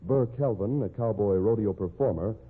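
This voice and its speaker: A man's voice: lowish voice, moderate pace, breathy edge. A man delivering scripted narration as the announcer of an old-time radio drama. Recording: tinny old radio recording. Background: faint music.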